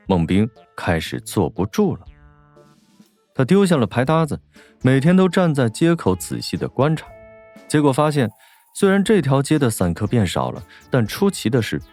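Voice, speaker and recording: A man narrates a novel in a mellow, warm timbre. His mid-pitched delivery moves expressively through the scripted prose, over faint music. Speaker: audiobook narrator.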